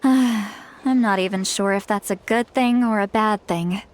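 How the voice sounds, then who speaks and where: Actress as dry, knowing "granny" type, mid pitch, game dialogue. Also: female voice, a little slow, a little hiss or hum, close to an everyday voice. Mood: wry. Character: wry woman who calls herself granny. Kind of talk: game cutscene voice acting.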